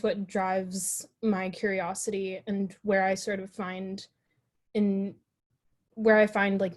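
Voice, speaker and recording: A woman's voice: mid-range voice, a little mellow. A woman on a discussion panel telling a personal story, reflective and chatty, with fillers and restarts. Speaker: panel guest.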